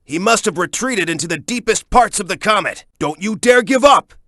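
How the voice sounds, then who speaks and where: A bold young echidna brawler for a video game. The voice sits high and swings widely in pitch, recorded very dry. He is earnest and hot-headed, pushing every line with punchy urgency. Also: animated, moderate pace, pushed and bright, no echo, full character voice. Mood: determined. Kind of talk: English video game character voice acting.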